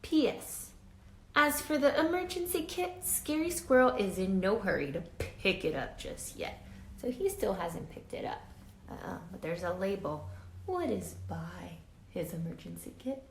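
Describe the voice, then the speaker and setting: Animated woman reading aloud in a roomy space. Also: mid-range voice, unhurried. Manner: dramatic, expressive, asks the children questions. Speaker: teacher reading a picture book aloud. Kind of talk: animated children's read-aloud with asides to listeners. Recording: roomy echo with faint background noise.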